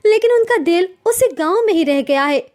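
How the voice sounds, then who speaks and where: A woman puts on a young working daughter-in-law for a cartoon tale. The voice sits very high. It is plaintive and earnest, meek and dutiful with an aggrieved edge. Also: animated, normal speed, darkish tone. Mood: earnest concern. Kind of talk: cartoon story voice acting.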